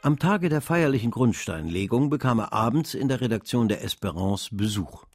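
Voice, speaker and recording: A grave literary narrator , voiced by a man, tells a radio drama. His medium-pitched voice is measured and dramatic, with music playing clearly behind. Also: lively intonation, a little mellow, dry recording, clean studio sound, lightly acted. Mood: grave, vivid.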